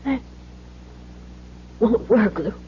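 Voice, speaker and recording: A woman's voice: on the high side, animated, very slow. An actress playing a frightened, cornered wife and mother who speaks in a hesitant, pleading way in an old-time radio drama. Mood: scared, halting. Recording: muffled, noisy old radio recording with hum.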